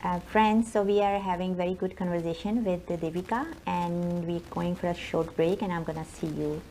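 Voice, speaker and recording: Female TV host, low and gravelly, hesitant interviewer. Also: steady tone, moderate pace, background noise. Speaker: talk show host.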